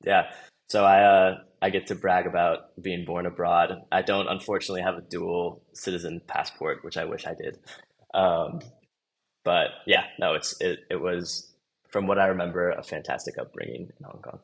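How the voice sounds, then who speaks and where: Low, gravelly young man, easygoing guest. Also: steady tone, normal speed. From Los Angeles, USA. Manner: easygoing, loose and chatty. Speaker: podcast guest, marketing manager. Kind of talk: casual interview chat.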